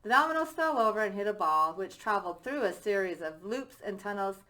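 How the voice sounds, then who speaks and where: Lady teacher reading a kids book out loud, bright voice, medium pitch. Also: lively intonation, fairly quick, rough recording. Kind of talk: reading a children's story aloud.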